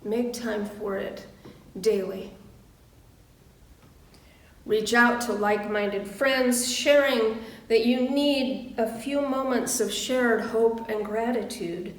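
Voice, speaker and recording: A woman minister giving a sermon and prayer in measured, deliberate short phrases with pauses. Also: fairly high pitch. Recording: roomy echo, distant microphone.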